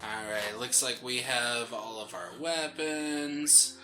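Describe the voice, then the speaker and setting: A man's voice: medium pitch, slow, pushed and bright. A man co-hosting a gaming let's play, chatting casually with dry, playful replies. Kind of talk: casual chat, game commentary. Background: faint game music.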